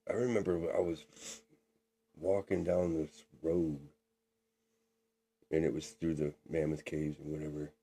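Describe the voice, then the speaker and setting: Very deep, husky man in his forties. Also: little pitch movement, a little slow, pauses often, dark tone. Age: 40s. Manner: drawling, says "man" a lot. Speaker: podcast co-host. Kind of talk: casual chat.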